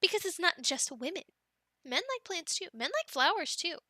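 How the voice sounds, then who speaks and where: A woman's voice: very high voice, expressive, normal speed, no echo, studio quality, full character voice. Woman as a giggly, whispery loving girlfriend. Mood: playful. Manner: soft and giggly, drifting into whispers. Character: affectionate teasing young girlfriend gardening. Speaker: ASMR roleplay performer. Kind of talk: ASMR roleplay.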